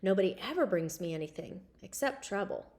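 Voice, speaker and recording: A woman's voice: medium pitch, expressive, fairly quick, a little mellow, natural voice. A woman voicing an anxious, earnest schoolgirl in pleading storybook dialogue. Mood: shy surprise.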